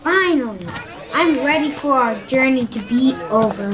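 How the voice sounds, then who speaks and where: A woman's voice: high voice, lively intonation, moderate pace, crowd noise. A woman playing a weary, sarcastic male monk who grumbles drily in an amateur audio play. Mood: weary, dry.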